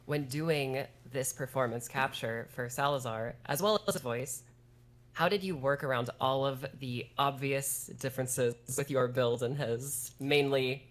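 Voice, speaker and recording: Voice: medium pitch, fairly even intonation, measured pace. A stream host asking questions in a casual, friendly Q&A. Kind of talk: casual Q&A hosting.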